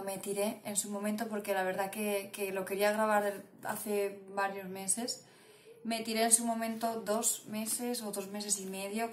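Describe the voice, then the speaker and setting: A young woman with a Castilian Spanish accent chatting casually and rambling informally to the camera as a book vlogger. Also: medium pitch. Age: young adult. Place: small indoor room at home. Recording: echoey room, clear background noise.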